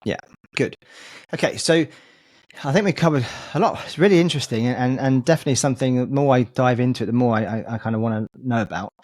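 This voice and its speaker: A man's voice: medium pitch, fast, a little mellow, no room sound. Man, podcast host, hesitant questions, repeated words, dry recording. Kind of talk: podcast interview.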